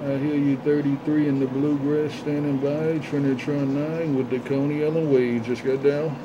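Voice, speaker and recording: Man chanting CB catchphrases through loud noise. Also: a little high, little pitch movement, moderate pace. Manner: repetitive, showy chanting of phrases. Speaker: CB radio operator. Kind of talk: CB radio talk, chanting catchphrases. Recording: dull lo-fi, loud radio static noise.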